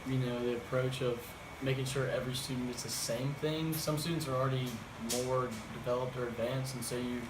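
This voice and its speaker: A man's voice: medium pitch, fairly even intonation, brisk, roomy sound, background noise. A young man student explaining his answer with plenty of fillers. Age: young adult. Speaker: student interviewee.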